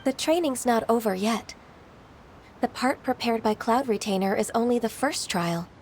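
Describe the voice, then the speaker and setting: A woman playing a gentle, reserved young half-human immortal in a video game, polite and earnest. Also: on the high side, normal speed, a little hiss or hum, natural voice. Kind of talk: video game character acting.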